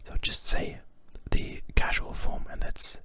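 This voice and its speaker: A German-accented native German speaker teaching a language lesson in English, speaking in a soft, patient whisper throughout. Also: slow pace.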